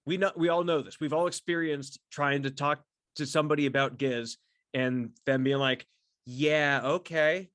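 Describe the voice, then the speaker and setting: A man chatting casually and solo as a podcast host, effusive and halting, repeating words and swearing freely as he rambles. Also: a little high, steady tone, fairly bright tone, dry recording, studio quality. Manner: effusive, enthusiastic fan talk. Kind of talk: casual solo chat to camera about a favorite band.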